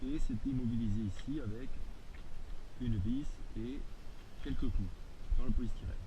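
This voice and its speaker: A man giving an informal hands-on workshop demonstration, speaking in halting, short remarks as he works. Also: medium pitch. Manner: halting, muttering short remarks while working. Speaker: DIY video maker. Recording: clear background noise and ambience.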